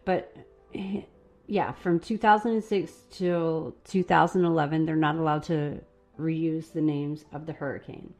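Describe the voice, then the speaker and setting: A woman hosting a live stream, chatting casually and rambling a little while her voice is going hoarse. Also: low-pitched, a little mellow. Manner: casual, rambling, laughs at herself. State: losing her voice. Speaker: host.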